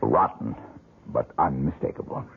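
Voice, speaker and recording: An actor playing a bitter, world-weary failed painter in a 1970s radio drama, with a rough, husky voice and a terse, sardonic way of talking. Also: male voice, a bass voice, animated, moderate pace, full character voice. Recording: phone-like narrowband old radio recording.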